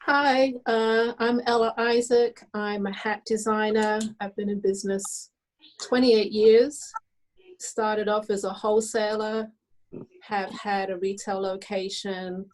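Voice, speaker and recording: A woman's voice: mid-range voice, fairly even intonation, slow, a little hiss or hum, poor audio. A middle-aged woman from England, a hat designer, introducing herself matter-of-factly on a video call.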